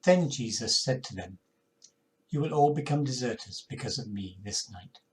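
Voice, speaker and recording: Older male lay reader, solemn and measured, scripture read at home. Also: medium pitch, expressive, slight background noise. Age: older, 60s or 70s. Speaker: congregation member reading scripture. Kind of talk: scripture reading. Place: living room at home, indoors.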